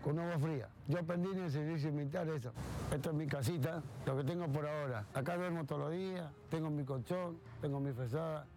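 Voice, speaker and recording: A man's voice: on the high side, fairly quick, noisy background. A man in his fifties with an Argentine accent, speaking plainly in an outdoor street interview. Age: 50s. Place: outdoors on a city street at night.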